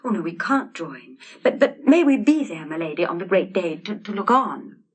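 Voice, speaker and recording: A woman voicing a shy, excitable little Dutch girl who repeats words eagerly, in an audiobook story. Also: lowish voice, normal speed, breathy edge. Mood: timid. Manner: breathless repetitions, timid and eager.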